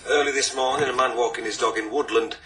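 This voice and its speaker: A man playing a formal police superintendent giving a measured, grave televised statement in a radio drama. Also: mid-range voice, steady tone, natural voice. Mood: grave, official. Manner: measured, official, solemn. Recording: distant, slightly noisy television sound.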